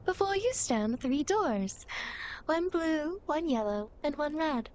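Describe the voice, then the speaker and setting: A woman's voice: high voice, animated, slow, a little mellow. A woman playing a playful, teasing demon girl who guides dead souls, in a coy, dramatic ASMR roleplay. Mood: coy, coaxing. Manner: coy, mischievous, mock-sympathetic, dramatic. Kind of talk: ASMR roleplay, acted monologue to the listener.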